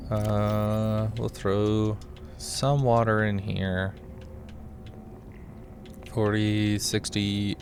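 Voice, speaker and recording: A man with a slightly scratchy voice giving casual gameplay commentary, rambling and muttering to himself as he thinks aloud. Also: on the low side. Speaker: small gaming streamer. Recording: music behind the voice. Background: game music.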